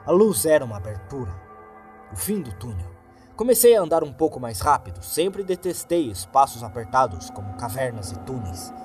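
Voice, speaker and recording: A man's voice: medium pitch, very animated, normal speed, darkish tone. Brazilian man narrating horror over prominent music. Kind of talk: dramatic creepypasta narration, first person. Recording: music behind the voice. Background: background music.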